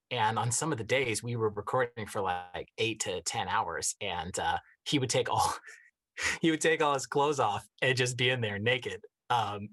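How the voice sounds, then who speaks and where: A man's voice: mid-range voice, a bit bright. A man who acts in audio plays, telling an anecdote in a casual, conversational way. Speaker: audio play actor.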